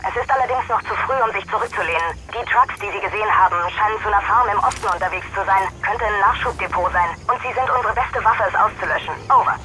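An actress playing a calm military radio dispatcher who gives clipped orders through a radio filter. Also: female voice, mid-range voice, fast talker, few pauses. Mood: brisk, composed. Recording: noisy radio transmission.

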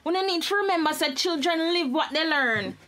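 A woman's voice: high voice, lively intonation, brisk, over a noisy crowd. A woman playing an earnest, sensible college-age Jamaican daughter in a radio family drama, admonishing and formal.

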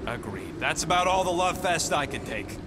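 Man voicing a wisecracking raccoon merc, sarcastic, lively and a bit high. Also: moderate pace, background noise, lightly acted. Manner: sarcastic, slangy. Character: cocky wisecracking male raccoon mercenary. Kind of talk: video game cutscene acting.

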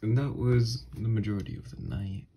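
A man voicing an obsessed, unhinged kidnapper scientist in an ASMR horror roleplay, soft and breathy, coaxing gently with quiet menace. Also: fairly low pitch, expressive, normal speed, slight background noise. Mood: doting, intimate. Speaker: ASMR audio roleplay voice actor. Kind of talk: ASMR horror roleplay monologue, partly whispered.

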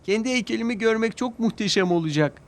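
Man voicing an eager, high-pitched boastful little train. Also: animated, fast talker. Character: excited, boastful young male talking tank engine. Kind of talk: children's cartoon character voice. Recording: music behind the voice.

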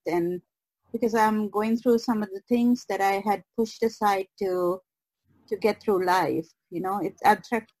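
Female podcast guest, unhurried and hesitant, conversational, close dry sound. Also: medium pitch, no room sound, low-quality recording. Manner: halting, searching for words. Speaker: guest artist. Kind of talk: casual podcast chat.

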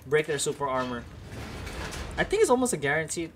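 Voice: mid-range voice, big pitch swings, a little fast, fairly bright tone, slight background noise. A young adult streamer from Indonesia with an American English accent, chatting casually with viewers while playing, laughing often and correcting themself. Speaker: game streamer.

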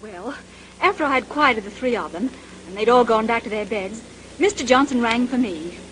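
Anguished high-voiced young stewardess, old radio. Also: female voice, expressive, fairly bright tone, poor audio. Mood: nervous, shaken. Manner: halting, emotional. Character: anguished, vengeful young stewardess confessing to murder. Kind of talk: 1930s radio drama acting. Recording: hissy old 1930s radio recording.

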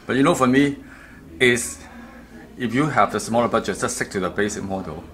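A middle-aged man who speaks English as a second language, explaining a product in an informal, halting, repetitive way as a distributor. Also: mid-range voice, fairly bright tone. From Canada, based in Vancouver. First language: not English. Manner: halting, repeats himself. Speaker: audio kit distributor. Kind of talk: informal product walkthrough interview. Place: hotel room at an audio show, indoors.

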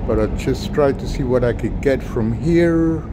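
A man narrating a casual handheld vlog, speaking to viewers in short, offhand remarks. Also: unhurried. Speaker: vlogger filming a walking tour. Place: underground subway station platform. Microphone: handheld phone, held by speaker behind camera. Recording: noisy subway platform, train rumble. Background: trains and station rumble.

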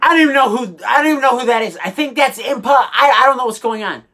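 A woman hosting a comedy show with casual, sarcastic reaction commentary, exasperated and halting as she repeats herself. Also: on the high side, pushed and bright. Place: small indoor room full of collectibles.

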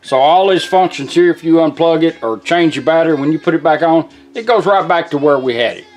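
Male, middle-aged, slightly high voice. Informal workshop how-to, step by step, faint music behind. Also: brisk. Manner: plain-spoken, step-by-step instruction. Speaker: vintage car radio technician demonstrating a product. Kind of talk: informal hands-on product demonstration. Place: small indoor workshop at a bench.

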